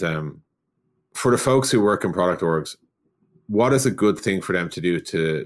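A man with a very deep, low-pitched voice hosts a relaxed podcast chat. He asks loose, meandering questions and fills pauses with quick agreeing murmurs. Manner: relaxed, thinks aloud with fillers, short agreeing backchannels. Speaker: podcast host asking questions. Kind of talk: casual podcast conversation.

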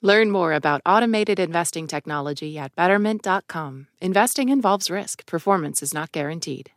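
A woman's voice: fairly low pitch, animated, a little fast, dry recording, studio quality. An American woman reading scripted sponsor messages as an announcer. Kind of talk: scripted ad read.

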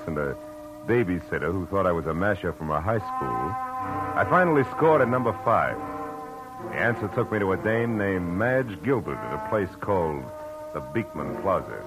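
A man's voice: sits low, rough recording. A man playing a hard-boiled private detective in a 1940s radio drama, narrating and talking in a wry, clipped, world-weary way over music on an old broadcast.

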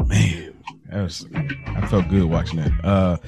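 A man co-hosting a podcast in casual chat, reacting in an animated, slangy way. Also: sits low, unhurried, creaky, over music. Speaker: podcast co-host.

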